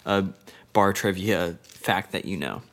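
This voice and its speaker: A man co-hosting a casual sports podcast, reading stats in a loose, joking way. His voice sits low. He often stops and starts again mid-sentence. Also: lively intonation, gravelly, fairly bright tone. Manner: loose and jokey, reads stats with halting restarts. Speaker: podcast co-host.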